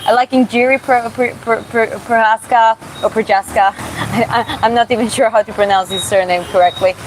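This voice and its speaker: A woman from Georgia who streams and boxes, reading viewer comments aloud in a live Q&A and answering casually, often pausing and restarting to find her words. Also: fairly high pitch, low-quality recording. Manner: casual, halting, searches for words with many restarts. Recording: steady background hum.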